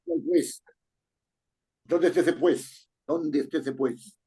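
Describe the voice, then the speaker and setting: Husky guy teaching writing, reads the text out slow then explains each fix, lots of "right?". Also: on the high side, big pitch swings, mellow. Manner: reads text aloud slowly, then explains with rising questions like "¿no es cierto?". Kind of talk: correcting a student's paragraph in class.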